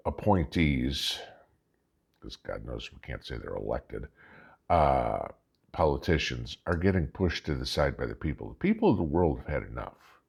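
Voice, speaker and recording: An American man hosting a vlog with casual political commentary, talking in a folksy, emphatic, halting way and posing rhetorical questions. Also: very deep voice, creaky, husky. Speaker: host of a preparedness vlog.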